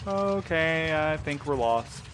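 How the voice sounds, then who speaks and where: A man's voice: on the high side, animated, slow, fairly bright tone, a little hiss or hum. An actor playing a sardonic, laid-back male courier driver in an audio drama, talking casually with a weary, teasing edge. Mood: uneasy, wry. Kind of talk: full-cast audio drama dialogue.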